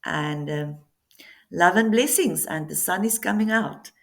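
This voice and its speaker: Woman talking slow n kinda low, lots of ums, showing off her book to camera. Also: gravelly. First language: Afrikaans. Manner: slow, hesitant, with many ums and pauses. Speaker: author presenting her book. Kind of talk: casual talk to camera. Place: Namibian coastal town.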